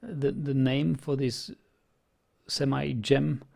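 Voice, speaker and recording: Slow, creaky man musing. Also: mid-range voice, fairly even intonation, mellow. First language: Czech. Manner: hesitant, musing, many ums and restarts. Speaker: let's play host. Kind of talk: gameplay commentary.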